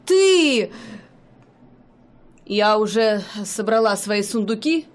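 A woman's voice: mid-range voice, expressive, slow. A woman acting a furious jealous wife in a radio play, scolding her husband in theatrical outbursts. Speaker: radio drama actress.

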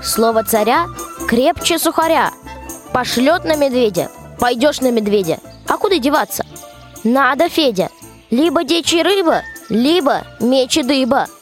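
A woman's voice: high voice, expressive, measured pace, faint music behind. A young woman narrating a rhymed folk tale as the storyteller of a radio play.

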